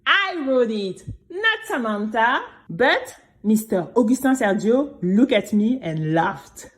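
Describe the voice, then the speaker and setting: A woman playing a brave young girl who tells her own story in an expressive, exclamatory way for a children's audio drama. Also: a little high. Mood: indignant. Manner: expressive, exclamatory, with drawn-out emphatic words.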